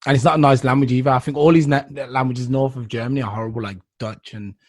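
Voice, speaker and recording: A man's voice: medium pitch, fairly even intonation, a little mellow, no echo, studio quality. A man hosting a podcast in casual chat, laughing often and cutting in. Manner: laughing, quick to interrupt. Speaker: podcast host.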